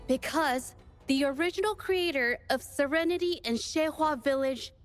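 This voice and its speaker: Game role: gentle young female painter played by a woman, higher pitch, sincere measured delivery, music clearly behind. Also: lightly acted. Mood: wistful, sincere. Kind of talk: video game story voice acting.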